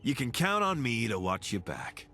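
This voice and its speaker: An actor playing an affable, curious wasteland scavenger in a video game, casual, wry and eager to team up. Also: male voice, medium pitch, sing-song, normal speed, sharp tone, faint music behind. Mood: upbeat, eager. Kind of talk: video game companion dialogue.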